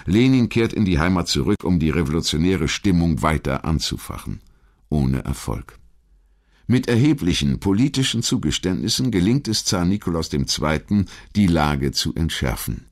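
Ein Mann, der als Erzähler einen vorbereiteten historischen Text vorträgt. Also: male voice, sits low, big pitch swings, moderate pace. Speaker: documentary narrator. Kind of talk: scripted historical narration.

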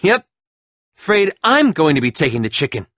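A cocky, sneering young gang leader taunts his rivals with mocking laughs in a game. His voice swings widely in pitch and has a bright, sharp edge. The studio recording is dry and close. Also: very animated, normal speed, dry recording. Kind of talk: video game voice acting.

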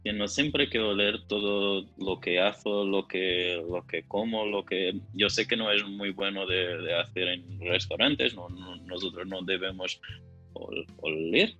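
A man's voice: low voice, a little slow, sharp tone, lo-fi sound, soft background music. A man from Portugal with a Portuguese accent, chatting casually in a second language as a podcast guest, searching for words and repeating phrases. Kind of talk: casual conversation.

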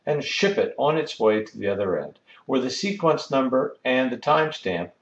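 A man's voice: medium pitch, animated, normal speed, reverberant, muffled recording. A man giving a methodical, step-by-step technical lesson as an instructor. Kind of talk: explanatory screen-recorded lesson.

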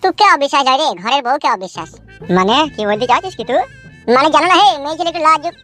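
A man's voice: extremely high pitch, big pitch swings, racing, pushed and bright, soft background music, exaggerated voice. A man voicing a hot-headed, foul-mouthed young village man in a comic cartoon, in slangy rural West Bengal dialect. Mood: indignant, hurt. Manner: brash, slangy bickering.

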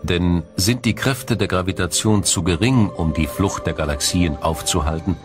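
A very low male voice narrates a science documentary from a script. The delivery is measured and dramatic, with faint music underneath.

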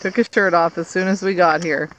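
A woman's voice: fairly low pitch, steady tone, brisk, poor audio. A woman, a mother chatting casually and colloquially as she films a family vlog. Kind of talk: casual chat while filming. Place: outdoors on forest trail and beach. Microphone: handheld phone or camera mic. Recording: outdoor noise on handheld camera.

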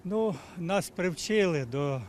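A man, a passerby, speaking in a measured, deliberate way to a street interviewer. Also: sits high, animated, a little hiss or hum. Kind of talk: street vox pop.

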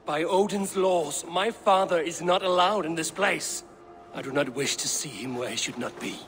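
A man's voice: fairly high pitch, normal speed, a bit bright, soft background music. A man playing a stern, defiant Viking warrior in a video game, with a grave and resolute delivery. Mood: troubled. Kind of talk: dramatic video game acting.

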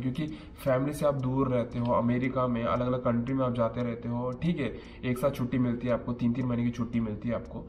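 A man in his thirties with a cold, giving chef career advice in a casual vlog, seated in a small indoor room. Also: mid-range voice. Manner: earnest, explains step by step, mixes in English phrases. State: has a cold. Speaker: chef vlogger.

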